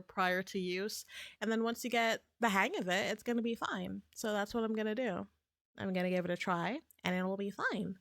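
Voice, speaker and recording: Woman, slightly raspy, rambling livestream chat, mid pitch, dry close sound. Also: a bit bright, no room sound. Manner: rambling, many fillers and restarts. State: getting sick. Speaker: streamer.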